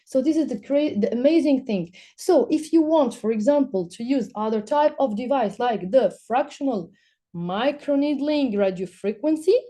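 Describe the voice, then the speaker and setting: A woman's voice: sits high, lively intonation, moderate pace, mellow. A woman from Morocco, not a native English speaker, presenting as a doctor and answering questions with enthusiasm. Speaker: doctor presenting at a medical webinar.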